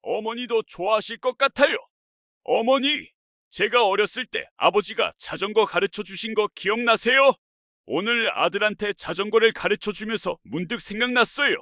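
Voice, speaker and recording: Man as bright, high-voiced devoted son. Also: moderate pace, dry recording. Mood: affectionate, nostalgic. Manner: earnest, polite. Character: devoted eldest son opening up to mother.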